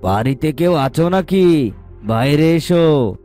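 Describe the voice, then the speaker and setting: A man's voice: a little high, animated, slow, dark tone. A man playing a boastful, threatening evil sorcerer in a cartoon. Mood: demanding, menacing. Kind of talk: cartoon villain acting. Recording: music behind the voice.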